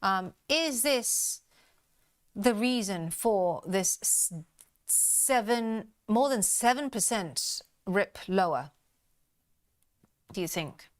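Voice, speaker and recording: Woman host, smooth clear voice, chatty market commentary full of fillers. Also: mid-range voice, animated, takes it slowly, long pauses. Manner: chatty, many fillers, upbeat with stock updates. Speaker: trading show host. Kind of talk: live market commentary chat.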